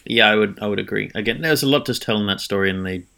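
Halting man, lowish voice. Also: creaky, pushed and bright. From Norway. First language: Norwegian. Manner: halting, many fillers and restarts. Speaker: podcast co-host. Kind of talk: casual film chat.

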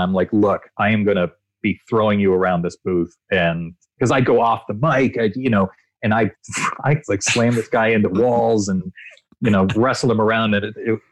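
A male voice actor being interviewed, telling expansive, animated anecdotes with frequent restarts. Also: fairly low pitch, normal speed, no room sound, rough recording. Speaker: voice actor guest. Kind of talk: interview answers, storytelling.

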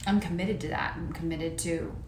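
A woman's voice: low voice, normal speed. A woman in her thirties co-hosting a casual podcast chat, telling stories and acting out both sides of conversations. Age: 30s. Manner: animated storytelling, acts out dialogue. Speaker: podcast co-host. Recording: roomy room with faint noise.

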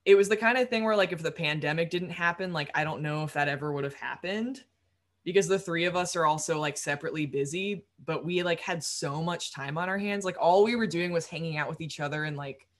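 Low-voiced young woman, rambling and chatty. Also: fairly quick. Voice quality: low-register voice. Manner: rambling, frequent "like" fillers, laughs easily. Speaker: musician guest. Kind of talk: casual interview chat.

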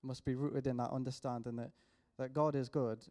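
A man closes his sermon in a relaxed, conversational manner. His voice stays level in pitch and has a dark, mellow tone. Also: brisk. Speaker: preacher.

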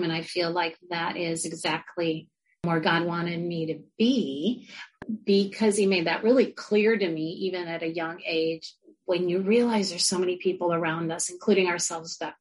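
A woman's voice: fairly low pitch, fairly even intonation, moderate pace, husky, rough recording. A woman social worker and university educator with family from Argentina, answering interview questions earnestly and reflectively. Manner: earnest, reflective, with frequent fillers and restarts.

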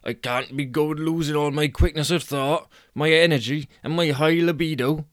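A petulant would-be vigilante, as a man narrator plays him in a comic story reading. He sounds pompous and whiny, with a crisp, clear voice in a very dry space. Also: fairly high pitch, fairly even intonation, moderate pace, a bit bright, no room sound, pitched above the performer's own voice, close to an everyday voice. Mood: urgent, pompous. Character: petulant, self-important small-time male vigilante.

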